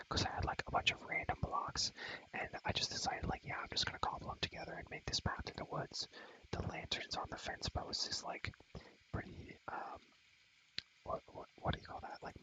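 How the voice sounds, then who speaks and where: A young man whispering in a breathy voice for ASMR, rambling casually in slang as he shows a game world and softly repeats his phrases. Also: rough recording.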